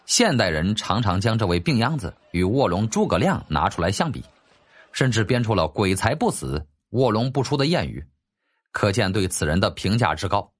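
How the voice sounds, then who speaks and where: 一位男演播者用戏剧化又略带调侃的口吻讲述历史小说有声书。. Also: male voice, fairly low pitch, very animated, normal speed. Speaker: audiobook narrator. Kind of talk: dramatic storytelling narration of a historical novel. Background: faint background music.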